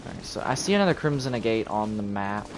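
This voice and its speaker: A man's voice: on the low side, very animated, fast talker, noisy background. A man giving casual live commentary on a video game, thinking aloud as he plays. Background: video game music.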